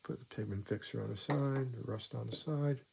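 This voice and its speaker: A man's voice: medium pitch, brisk, vocal fry, dull audio, background noise. Chatty man with a stuffy cold. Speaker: model weathering host. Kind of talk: casual hobby tutorial. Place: hobby desk.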